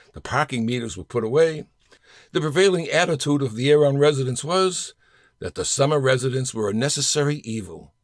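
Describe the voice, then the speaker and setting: An older man narrates a scripted personal essay in a very dry, close recording. His pitch is mid-range and moves in a lively way. He tells it in a measured fashion, leaning on key words with deliberate pauses. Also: gravelly, no room sound. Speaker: essayist reading own work.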